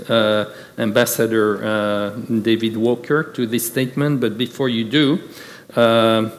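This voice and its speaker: A man moderating a conference panel, speaking with many fillers and restarts. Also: mid-range voice. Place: large conference hall with audience. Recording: reverberant conference hall.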